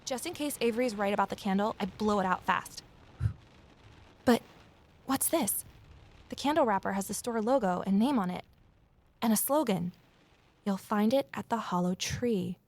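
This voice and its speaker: A woman's voice: mid-range voice, moderate pace, pauses often, a little mellow, lightly acted. An actress playing a guarded, lonely amateur sleuth who narrates her own mystery in an introspective audio drama style. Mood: spooked, puzzled.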